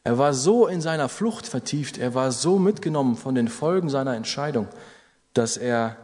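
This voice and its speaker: A man's voice: mid-range voice, lively intonation, normal speed, a little mellow, big-room sound. A man preaching an earnest sermon to a congregation, building to urgent exhortations. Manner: earnest exhortation with rising emphasis.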